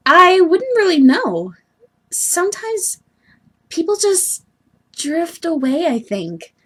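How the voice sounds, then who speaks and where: A woman voicing an earnest, bubbly young female troll heiress from the sea, with expressive scolding, in an amateur audition style. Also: very high voice, takes it slowly, full character voice. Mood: gentle, consoling. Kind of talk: amateur animated-webcomic voice audition acting.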